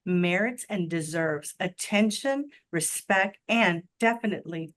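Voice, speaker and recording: A woman's voice: on the low side, unhurried, fairly bright tone. A woman from Houston speaking confidently on a virtual panel, in a polished, speech-like way. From Houston, Texas. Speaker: business chamber president. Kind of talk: panel discussion over video call. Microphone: video call.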